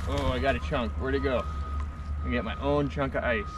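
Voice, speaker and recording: A man in his thirties vlogging on a lake shore, relaxed and explaining what he sees. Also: medium pitch, a little fast. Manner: easygoing, matter-of-fact, explaining. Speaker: travel vlogger. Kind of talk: casual vlog chat. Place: outdoors at a foggy glacier lake shore. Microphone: handheld action camera. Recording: outdoor wind and water noise.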